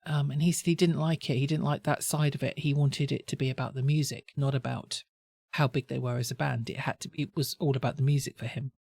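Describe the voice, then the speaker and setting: A woman's voice: a bass voice, fairly even intonation, a little fast, vocal fry, a little mellow, breathy edge, no echo, clean studio sound. A woman hosting a reaction video, talking in a rambling, self-correcting chatty way while stuffed up with a cold and a sore throat. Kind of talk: casual chatty commentary.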